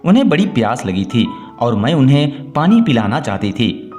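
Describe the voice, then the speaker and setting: A man's voice: mid-range voice, sing-song, moderate pace, darkish tone, close to an everyday voice. A man voicing a clever, dutiful young daughter-in-law in a folk tale, explaining herself politely and with assurance. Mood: confident, explaining. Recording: music bed under the voice.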